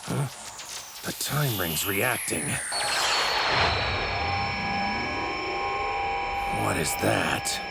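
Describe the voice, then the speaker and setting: An actor in an English anime dub playing an arrogant, sadistic god-like villain with a haughty, theatrical delivery and a gravelly, sharp-edged voice. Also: male voice, on the low side, animated, slow pace, over loud music, heavily acted. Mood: brooding, puzzled. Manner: haughty, theatrical, savoring his words. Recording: ripped anime audio with faint noise.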